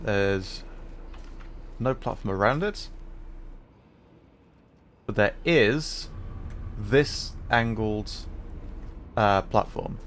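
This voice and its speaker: A man's voice: lowish voice, lively intonation, drawn-out words, long pauses, fairly bright tone. A man livestreaming a game, explaining puzzles casually and hesitantly, piece by piece as he thinks aloud. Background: faint noise and game ambience.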